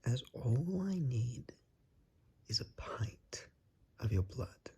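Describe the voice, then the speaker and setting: A man playing a suave, predatory vampire in an ASMR roleplay, speaking in a breathy half-whisper with a courtly, coaxing manner. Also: medium pitch, sing-song, dark tone, heavily acted. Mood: coaxing, patient. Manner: courtly, drawn-out, seductive menace.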